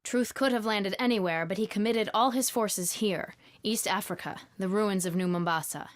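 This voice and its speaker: An actress performs a serious female naval commander for a game. Her voice sits in the middle register. She speaks with composed, crisp authority, as in a military briefing. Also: medium pitch, normal speed, natural voice. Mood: grave briefing. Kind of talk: video game cutscene acting.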